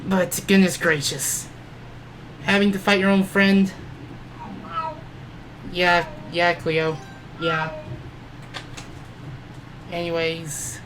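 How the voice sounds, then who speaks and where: A woman's voice: on the low side, little pitch movement, a bit bright, slight background noise, rough recording. A woman giving casual video game commentary, hesitating often and correcting herself as she goes. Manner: halting, self-correcting, frequent hesitations. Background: game music.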